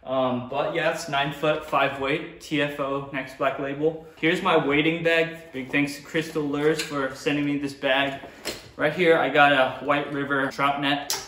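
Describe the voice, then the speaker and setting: A teenage boy hosting a fishing channel, showing off his gear in a relaxed, chatty way with plenty of fillers, filmed by a table camera in a small indoor gear room. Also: fairly high pitch, measured pace. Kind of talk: casual show-and-tell vlog. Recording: echoey room with noise.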